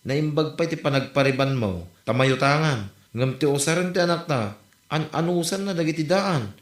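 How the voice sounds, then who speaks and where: A man's voice: on the high side, lively intonation, moderate pace, lightly acted. An actor with an Ilocano accent playing a plain-spoken village man in a comedy drama. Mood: scolding. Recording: roomy echo, faint music behind.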